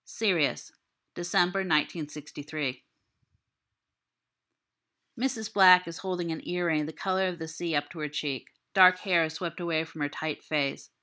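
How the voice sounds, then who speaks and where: A woman's voice: low-pitched, normal speed, sharp tone. A woman reading literary fiction aloud as a scripted narration. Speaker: audiobook reader.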